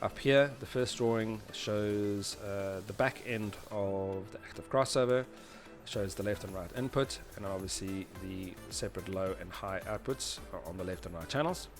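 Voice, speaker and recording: A man with a fairly low voice talks through a technical tutorial as its presenter. His delivery is informal and halting, and he often rephrases as he explains. Music can be heard behind him. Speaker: presenter of an audio engineering tutorial. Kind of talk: informal explanatory tutorial narration over diagrams.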